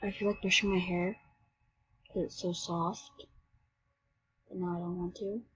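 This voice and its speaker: A woman commenting on a game she plays, excitable and jumping between shrieks and hushed whispers, sick and shivering with cold. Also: lowish voice, unhurried, lo-fi sound, slight background noise. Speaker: game video creator. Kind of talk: casual gameplay commentary.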